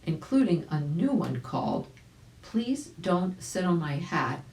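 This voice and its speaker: Older woman slowly reading, low voice. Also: very animated, a little mellow. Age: older, about 70s. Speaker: volunteer storybook reader. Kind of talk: read-aloud children's story narration. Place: small indoor room at home. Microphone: camera a few feet away. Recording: roomy home recording.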